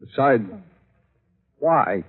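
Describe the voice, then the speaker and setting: A man's voice: mid-range voice, expressive, extremely slow. An actor playing a nervous, defensive male photographer who stammers through his story in 1950s radio drama style. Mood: nervous explaining. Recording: narrow, phone-like old radio broadcast.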